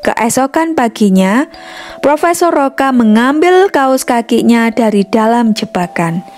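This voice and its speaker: A woman's voice: on the high side, expressive, unhurried, darkish tone. A woman reading a scripted children's story as a storyteller. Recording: music behind the voice. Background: music.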